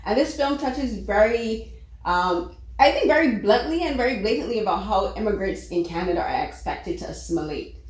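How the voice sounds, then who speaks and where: A woman's voice: mid-range voice, moderate pace. Chatty woman interviewer in an echoey room. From Barbados. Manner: chatty, animated, many fillers. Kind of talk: casual interview.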